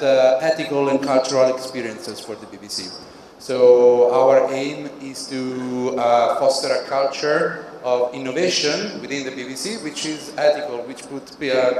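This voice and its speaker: A man with an Italian accent introducing himself on a conference panel, speaking in a measured, deliberate way with pauses. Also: medium pitch, a little mellow. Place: large conference hall. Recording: very echoey hall with long reverb.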